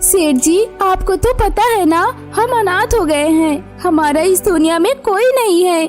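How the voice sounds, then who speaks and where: A woman's voice: extremely high pitch, measured pace, a little mellow, faint music behind, voice pushed up. A woman voicing a protective, reassuring young elder sister bird in a cartoon story. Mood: earnest. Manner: reassuring, earnest. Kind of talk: Hindi cartoon moral story.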